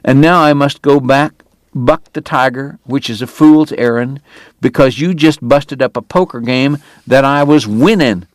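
Male reader doing a sick, proud Old West dentist: slow, pained, pitch pushed up, dry close sound. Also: dry recording, natural voice. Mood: proud, angry. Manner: pained, proud, formal diction breaking into anger. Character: ailing, bitter consumptive Old West dentist, man.

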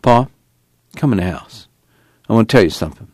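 A man's voice: on the low side, expressive, fairly quick, a little mellow, no echo, studio quality. A man voicing a decisive grown farm son in an audiobook, plain-spoken and firm, with folksy rural dialect. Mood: practical, firm.

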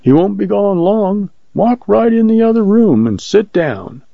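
Fretful, gossipy farm wife for a storybook, played by a man, raised pitch, dull close recording. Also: expressive, a little slow, vocal fry, no room sound, exaggerated voice. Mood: anxious, fussing. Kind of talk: audiobook character voice.

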